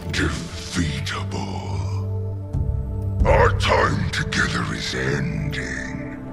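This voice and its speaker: An actor playing a brutal, proud alien warlord in a video game, slowly making menacing, grandiose declarations in a gravelly, booming voice. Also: male voice, loud music behind the voice, exaggerated voice. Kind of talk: theatrical video game villain monologue.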